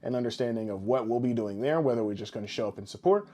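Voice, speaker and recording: A man's voice: medium pitch, fast talker, mellow. A man co-hosting a podcast with casual, jokey, rambling showman patter. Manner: boisterous, rambling, tongue-in-cheek showman patter. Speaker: podcast co-host.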